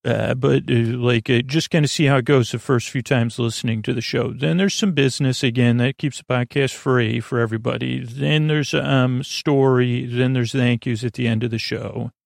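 A man with a creaky voice hosting a bedtime podcast in a meandering, halting monologue, often stammering and restarting as he drifts into tangents. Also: mid-range voice, fairly even intonation, no echo, clean studio sound. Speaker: podcast host.

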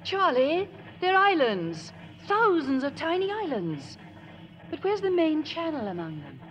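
An actress playing a prim, devoted and brave middle-aged spinster, genteel and earnest, in 1950s radio drama style. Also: female voice, high-pitched, wildly swooping pitch, a little slow, exaggerated voice. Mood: anxious. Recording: dull old radio recording with music.

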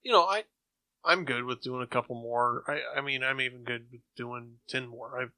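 Casting: conversational male podcast co-host, medium pitch, crisp bright tone. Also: moderate pace, dry recording. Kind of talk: casual chat.

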